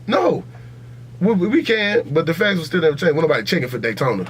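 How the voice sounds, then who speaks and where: A man's voice: a little high, big pitch swings, fast talker. An American man co-hosting a podcast, debating rap in a blunt, slangy, profane way and often repeating himself to push his point. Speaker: podcast co-host. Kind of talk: heated casual debate about rap music. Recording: noisy podcast audio with hum.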